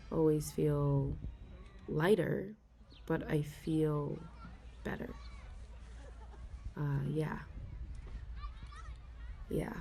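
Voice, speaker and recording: Low, gravelly-voiced shy woman. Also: a little mellow, a little hiss or hum. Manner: hesitant, stammering, often giggling. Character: shy, fond female office worker. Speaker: ASMR role-play performer. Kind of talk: soft confiding ASMR role-play.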